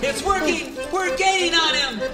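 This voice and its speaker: A man's voice: extremely high pitch, moderate pace, over a noisy crowd. A man playing an earnest, corny cowboy sidekick in an old-time radio Western parody. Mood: urgent. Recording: echoey live stage, music, audience.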